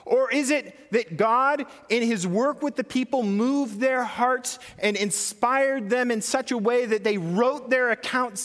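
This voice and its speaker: A man's voice: very high voice, a bit bright, in a large hall. A man preaching a sermon as pastor, talking conversationally and putting questions to his congregation.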